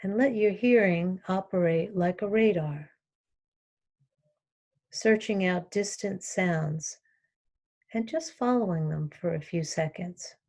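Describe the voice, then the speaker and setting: Slow-speaking woman guiding relaxation. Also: fairly low pitch. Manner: calm, instructional, with long pauses between short phrases. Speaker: yoga nidra teacher. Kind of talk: guided relaxation meditation.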